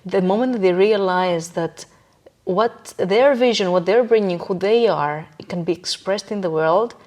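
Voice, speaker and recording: A woman's voice: medium pitch, moderate pace, darkish tone. Female podcast guest, mid-range voice, conversational and emphatic, repeats key phrases to drive points home. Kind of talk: conversational interview, sharing views on life.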